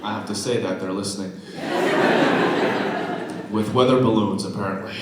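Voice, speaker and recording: A man from China doing a stand-up comedy set on a festival stage, with deadpan punchlines and teasing of the crowd. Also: on the low side, normal speed, heavy background noise. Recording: echoey theater, loud audience laughter.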